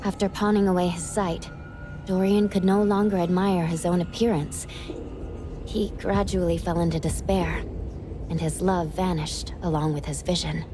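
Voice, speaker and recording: A woman playing a composed, analytical young female investigator in a fantasy video game, speaking in a measured, deliberate way. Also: on the low side, steady tone, music in the background, lightly acted. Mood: solemn. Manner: measured, deliberate, reasoning aloud. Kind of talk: scripted anime game voice acting.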